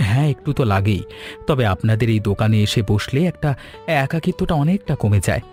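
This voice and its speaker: Appreciative, mannerly male customer for a cartoon, man acting, effusive and expressive, light music underneath. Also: fairly low pitch, fairly quick, lightly acted. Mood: grateful, warm. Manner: courteous, effusive. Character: polite, appreciative male office-worker customer. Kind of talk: cartoon story dialogue.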